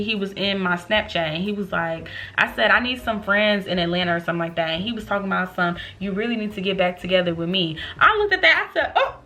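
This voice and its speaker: A woman in her late twenties telling a storytime to her viewers in an animated, chatty way, calling them y'all. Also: medium pitch. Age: 20s. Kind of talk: casual storytime vlog.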